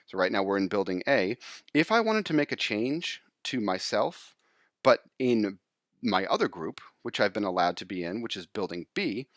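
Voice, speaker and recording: A man explaining software step by step in an informal screencast tutorial voice-over. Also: fairly low pitch, sing-song, moderate pace, no room sound, clean studio sound.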